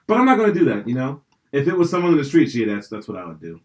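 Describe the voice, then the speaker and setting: Animated, profane young man chatting. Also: medium pitch, racing. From Pennsylvania. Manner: profane, rambling, animated storytelling. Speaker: podcast host. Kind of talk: casual chat.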